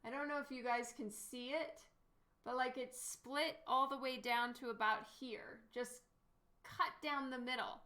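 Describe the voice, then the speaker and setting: High, bright, giggly woman chatting slowly. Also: steady tone. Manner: chatty, giggles often, answers chat questions. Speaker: artist live-streaming a painting session. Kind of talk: casual chat with viewers while painting.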